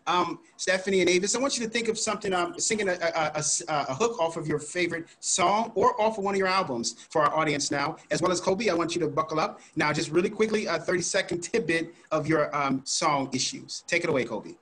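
Fast, bright-voiced male talk show host. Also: a little high. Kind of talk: hosting a remote music interview show.

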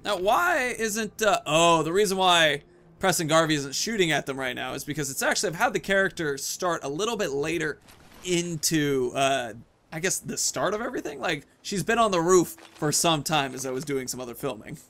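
A man's voice: on the high side, expressive, moderate pace, fairly bright tone. A man in his thirties giving casual commentary as the host of a gaming video.

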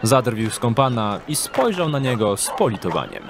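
A man's voice: mid-range voice, sing-song, normal speed, creaky, noisy background. A man reading scripted narration for an audio drama as its storyteller. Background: background music and street ambience.

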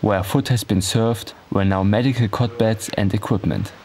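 A man's voice: fairly low pitch, steady tone, moderate pace, darkish tone, slight background noise. A man reading scripted voice-over narration in the manner of a documentary.

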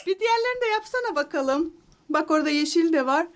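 Very high-pitched, animated mother. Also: fast talker, shouting, very noisy. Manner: encouraging, affectionate, asks many questions. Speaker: mother filming and guiding her child. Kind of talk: playful chat with a small child for a kids' video.

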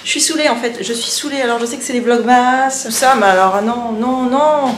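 A woman's voice: on the high side, normal speed, faint music behind. A woman in her forties talking casually to camera in a vlog, chatty and a bit exasperated, the camera held at arm's length. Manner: chatty, exasperated, self-mocking. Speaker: vlogger. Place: apartment indoors, also outdoors in the street. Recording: echoey room, faint background noise.

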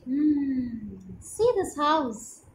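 A young woman with an Indian accent tells a moral tale to children in a high, very lively voice. She takes it slowly and keeps asking questions. It was recorded in an echoey room at home. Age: young adult. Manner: expressive and didactic, often asking questions to child listeners. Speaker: storyteller for children. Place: small room at home, indoors. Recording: roomy echo with clear background noise.

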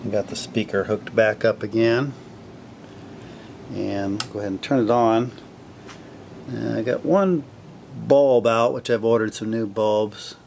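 A man casually narrating his radio restoration at a home workbench, relaxed and full of fillers as he thinks aloud. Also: on the low side, a little slow, gravelly, pauses often, slight background noise. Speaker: hobbyist restoring a vintage radio.

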